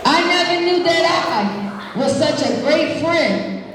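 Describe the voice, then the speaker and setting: A woman, an energetic children's author reading aloud live to a school audience, projecting and engaging listeners with questions. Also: extremely high pitch, unhurried, noisy background. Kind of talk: live read-aloud, call-and-response with children. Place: large echoey room at an elementary school. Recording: very echoey hall, distant mic.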